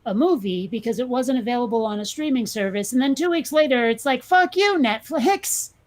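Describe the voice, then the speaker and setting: A woman's voice: a little high, lively intonation, fairly quick. A woman with a New England accent, co-hosting a casual chat, blunt and sarcastic, swearing freely. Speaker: podcast co-host.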